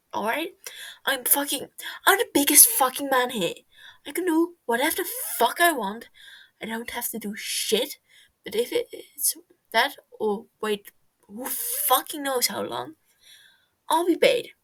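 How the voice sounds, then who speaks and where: Woman doing brash sweary teen boy for story reading, pushed up high n loud, worked up, kinda husky. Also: big pitch swings, a little slow, heavily acted. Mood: defiant, furious. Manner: swearing, blustering, stumbling over words in agitation. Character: brash, foul-mouthed sixteen-year-old boy, agitated and defiant.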